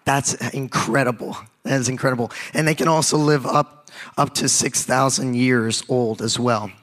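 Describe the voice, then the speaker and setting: Young male youth pastor, raspy and hoarse from a cold, mid-pitched, halting and casual. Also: fairly even intonation, fairly bright tone. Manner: casual, halting, with many restarts and fillers. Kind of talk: sermon.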